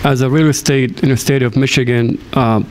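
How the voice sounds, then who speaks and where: A man giving an unscripted answer as a council candidate, halting and hesitant with many filled pauses. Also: medium pitch, steady tone, darkish tone.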